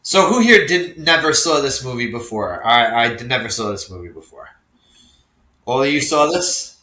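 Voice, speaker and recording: Raspy man hosting in an echoey room. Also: medium pitch, sing-song, normal speed, pushed and bright. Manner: laughing, loose and profane. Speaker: podcast host. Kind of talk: casual group discussion. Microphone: video call.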